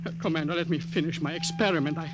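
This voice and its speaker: A man's voice: on the high side, fairly even intonation, rapid-fire, very noisy, close to an everyday voice. An actor playing an elderly, anxious scientist father who pleads and stammers, in 1950s radio drama style. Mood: pleading. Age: older.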